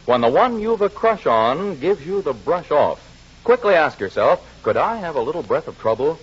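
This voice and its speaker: A man's voice: a little high, very animated, normal speed, husky. A man reading a scripted 1940s radio commercial as an emphatic, salesmanlike announcer. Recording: muffled vintage radio broadcast.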